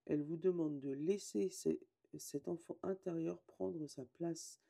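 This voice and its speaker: A hoarse woman, casually chatting and reading oracle card meanings aloud, often correcting herself. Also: deep voice, steady tone, a little slow, dark tone. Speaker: tarot and oracle card reader.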